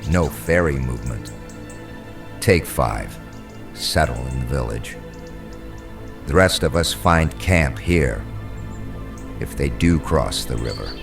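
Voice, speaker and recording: A man voicing a stern, terse medieval troop leader with a gravelly voice in an audiobook. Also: very deep voice, lively intonation, slow pace, long pauses, voice pushed down, exaggerated voice. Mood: curt, commanding. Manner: terse, commanding. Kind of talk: audiobook character dialogue. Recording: music under the voice.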